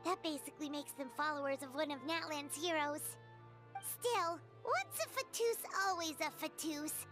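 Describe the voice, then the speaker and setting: Tiny perky game fairy, played by a woman: extremely high, animated, cute and childlike, over music. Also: normal speed, lightly acted. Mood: suspicious, curious. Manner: exclamatory, cute, expressive. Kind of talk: video game character acting.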